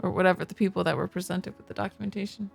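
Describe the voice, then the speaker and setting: A woman's voice: fairly low pitch, steady tone, very fast, vocal fry, soft background music. A woman co-hosting a game playthrough with casual commentary. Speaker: playthrough co-host.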